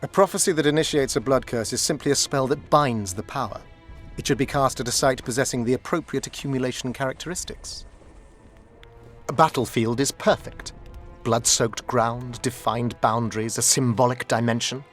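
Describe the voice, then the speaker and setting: An actor playing a condescending, scholarly court sorcerer in a video game, pompous, silky and mock-courteous. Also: male voice, medium pitch, normal speed, fairly bright tone, soft background music, natural voice. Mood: lecturing. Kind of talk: video game dialogue acting.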